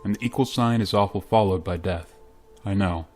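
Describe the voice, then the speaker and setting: A man playing a dutiful, measured spaceship pilot in an old-time style radio drama. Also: on the low side, a little mellow, lightly acted. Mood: grave. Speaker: radio drama actor and in-character narrator. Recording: music under the voice.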